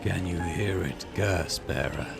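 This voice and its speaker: A man voicing a sinister otherworldly being that urges killing, with slow, ominous delivery in a game. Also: sits low, gravelly, over loud music, voice pushed down. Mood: menacing. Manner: slow, ominous, drawn-out commands. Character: sinister otherworldly voice urging a cursed person to kill.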